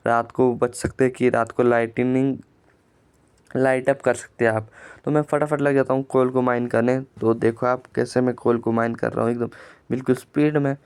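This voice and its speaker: A man with a sore throat narrating his own gameplay for his channel in a plain, flat storytelling way, often repeating words. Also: mid-range voice, clean tone, no echo. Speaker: gaming channel creator.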